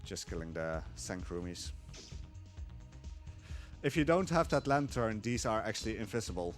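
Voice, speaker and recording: A man explaining his speedrun live in a relaxed, chuckling way, with a casual tone. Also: on the low side, normal speed, soft background music. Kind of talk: casual live gameplay commentary.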